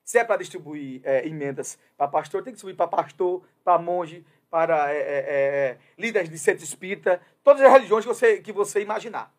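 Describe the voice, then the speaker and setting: A man's voice: high voice, a little fast, hardly any pauses. A man from Brazil giving opinion commentary on his show, hesitant with many fillers at first, then emphatic and insistent. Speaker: commentator on a radio or web show.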